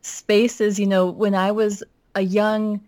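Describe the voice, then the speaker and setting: A woman from Minnesota as a podcast guest, telling her family story in a reflective, steady way with frequent "you know" fillers. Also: medium pitch, slow, no echo, studio quality. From Minnesota, United States. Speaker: pastor's wife and guest. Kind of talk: podcast interview, personal story.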